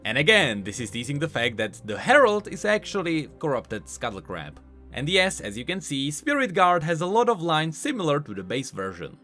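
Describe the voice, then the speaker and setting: A man's voice: on the high side, big pitch swings, moderate pace, sharp tone. A man hosting an explanatory video game lore commentary, speaking in an emphatic way. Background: faint background music.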